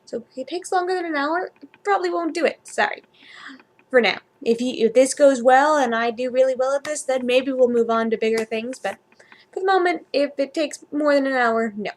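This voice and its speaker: A woman's voice: high-pitched, brisk. A woman vlogger chatting casually and reading a story aloud informally, sometimes stumbling over her words.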